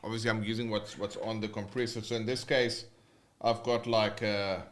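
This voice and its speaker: A man's voice: lowish voice, little pitch movement, normal speed. A man with a South African accent, teaching guitar in a casual, rambling livestream with many fillers and restarts.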